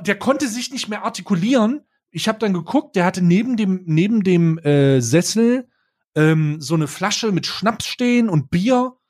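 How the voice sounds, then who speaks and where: A man's voice: high voice, slightly rough. A young man co-hosting a podcast, telling a story in casual slang with lots of restarts. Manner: animated, slangy, frequent restarts. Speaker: podcast co-host.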